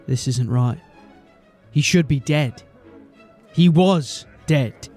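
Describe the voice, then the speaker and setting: Blunt, wry lawman of a fantasy city watch, slightly high-pitched, grumbling. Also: takes it slowly, a little mellow, faint music behind. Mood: grave, uneasy. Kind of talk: fantasy audio drama acting.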